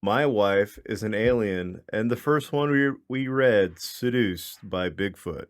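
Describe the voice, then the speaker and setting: A man with a slightly low voice co-hosts a comedy podcast. He speaks informally and jokes along in loose, conversational banter. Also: animated, slow. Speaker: podcast co-host. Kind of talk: casual comedic podcast chat.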